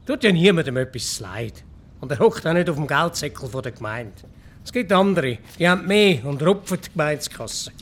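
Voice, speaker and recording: A man's voice: on the high side, big pitch swings, a little fast. An actor in a radio play playing an easygoing, wry village council man with a Swiss accent. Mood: placating. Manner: colloquial, wry. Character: easygoing, sympathetic male village council colleague.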